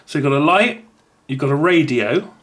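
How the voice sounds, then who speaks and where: A man's voice: fairly high pitch, expressive, moderate pace, a bit bright, a little hiss or hum. A man casually demonstrating a small gadget at a table, rambling and correcting himself as he goes. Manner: rambling, self-correcting, chatty. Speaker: product reviewer. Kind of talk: casual unboxing demo. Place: indoors at a wooden table.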